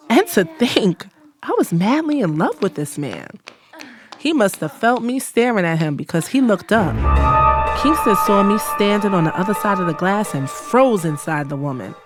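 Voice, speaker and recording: A woman playing a hurt, scorned lover in an audio drama, telling it in first person with emphatic, sarcastic delivery. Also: fairly high pitch. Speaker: narrator and lead character in an audio drama.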